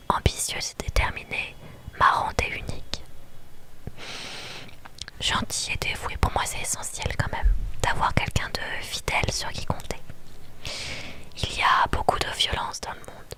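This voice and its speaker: A woman whispering in a breathy ASMR style as she plays a story game, with playful, teasing asides to her viewers. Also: a little slow, long pauses. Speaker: streamer reading and reacting to a dating story game. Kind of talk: whispered ASMR game playthrough with live commentary.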